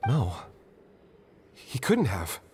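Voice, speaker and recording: An actor playing a distressed, stubbornly loyal young man in a video game, husky and halting with emotion. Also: lowish voice, wildly swooping pitch, a little mellow, soft background music, heavily acted. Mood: disbelieving. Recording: long cavernous reverb.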